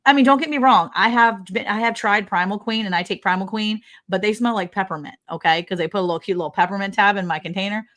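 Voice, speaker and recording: A woman co-host talks in a casual livestream chat at a middling pitch. She tells stories in an animated, informal way and likes to act out what people said. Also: quick pace, hardly any pauses.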